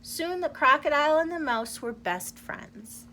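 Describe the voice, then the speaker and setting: A woman reading a children's storybook aloud in an expressive, deliberate storyteller's manner. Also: sits high, noisy background.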